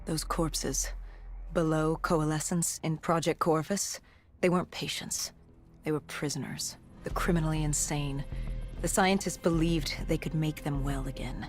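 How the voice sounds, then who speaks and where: A woman playing an intense, haunted soldier in a video game, speaking in a grave, hushed and halting way. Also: low-pitched, steady tone, soft background music. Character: haunted, intense female soldier recounting the apocalypse. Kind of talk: dramatic video game voice acting.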